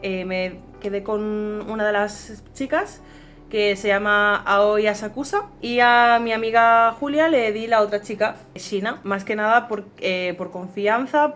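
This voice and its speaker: A woman with a Castilian Spanish accent, a dubbing director giving an unscripted behind-the-scenes account. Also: medium pitch. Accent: Castilian Spanish from Spain. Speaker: fandub director and voice actress.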